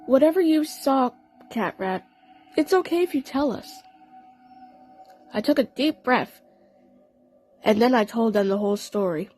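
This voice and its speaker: A woman narrating a fan audio play in the first person, telling the story in an earnest, deliberate, dramatic way. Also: very high-pitched, low-quality recording.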